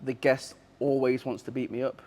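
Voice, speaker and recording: A man's voice: medium pitch, level tone, normal speed, dark tone. A man hosting a podcast, chatting casually and teasing his guest in a brash, joking way. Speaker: podcast host.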